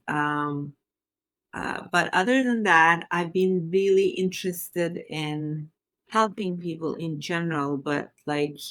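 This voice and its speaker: Guest role: woman, 40s, from Turkey, lowish voice, dry close sound, reflective and chatty. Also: fairly bright tone, no echo. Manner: thoughtful, many fillers, laughs easily. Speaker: scientist and interview guest. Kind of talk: casual interview conversation.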